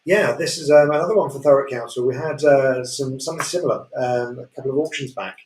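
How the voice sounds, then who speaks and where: A man with a Yorkshire accent presenting auction lots in a relaxed way, full of fillers. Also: medium pitch, fast. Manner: relaxed, many fillers, chuckles. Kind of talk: casual chat describing property lots. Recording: echoey room, distant mic.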